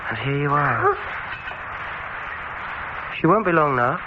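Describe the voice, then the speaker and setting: Slow, sinister vengeful male dentist. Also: fairly high pitch, big pitch swings. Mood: gloating, intimate. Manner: slow, deliberate, gloating delivery with long pauses. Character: sinister vengeful male dentist, softly menacing, savouring cruelty. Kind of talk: dramatic radio horror monologue. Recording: muffled, very noisy old radio recording.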